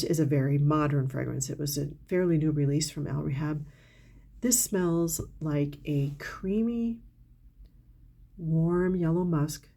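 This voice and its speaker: Low gravelly congested woman reviewing perfume. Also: mellow. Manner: chatty, candid, enthusiastic about scents. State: congested from a cold. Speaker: perfume reviewer, channel host. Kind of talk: casual product haul talk to camera.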